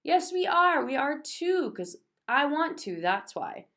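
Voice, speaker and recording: A woman voicing an excitable, stubborn, bossy young schoolgirl in a children's storybook, with childish grammar and emphatic delivery. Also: high voice, slow, pitched above the performer's own voice, full character voice. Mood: insistent, angry.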